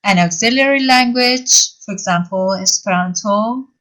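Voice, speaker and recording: Woman lecturing with frequent pauses. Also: medium pitch, fairly bright tone. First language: Persian. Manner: halting, many fillers, explains step by step. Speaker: university lecturer. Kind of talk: online lecture.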